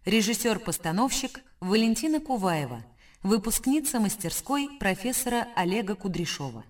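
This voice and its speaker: A woman's voice: medium pitch, sing-song, normal speed, distant mic. A woman radio announcer reading scripted closing credits in a formal broadcast style.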